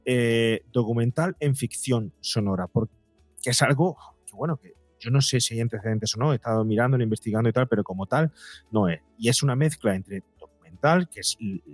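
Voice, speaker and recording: A man speaks in a medium-pitched voice, explaining his work in an interview. He often repeats himself and rephrases mid-thought. The recording is very dry, with music underneath. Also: a little mellow, no echo. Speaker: podcast guest, psychologist and documentary creator. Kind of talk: conversational interview answers.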